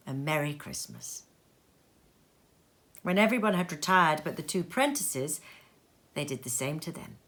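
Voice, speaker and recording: A woman reading a classic story aloud as expressive narration, with dramatic emphasis and deliberate pauses. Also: on the low side. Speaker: storybook reader. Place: sitting room.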